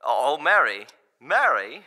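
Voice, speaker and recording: Man acting brash, sharp-voiced young big talker. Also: medium pitch, sing-song, slow, heavily acted. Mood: brash, confident. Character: brash, jovial young male businessman, back-slapping big talker. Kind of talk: old-time radio drama acting.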